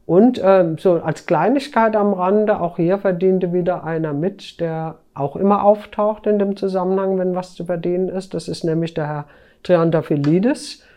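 A woman with a soft, mellow voice talks as a journalist being interviewed on a podcast. She explains in a conversational way, pausing and picking up again mid-sentence. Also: fairly low pitch, fairly even intonation.

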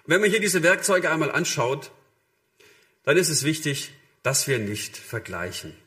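A man's voice: a little high, big pitch swings, normal speed, sharp tone. A man preaching a sermon in a conversational, illustrative way, addressing listeners directly and voicing short imagined quotes.

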